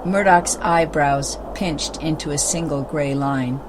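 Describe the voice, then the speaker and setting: A woman narrates a scripted storybook. The recording carries clearly audible background noise and ambience around her reading. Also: low-pitched, a little slow.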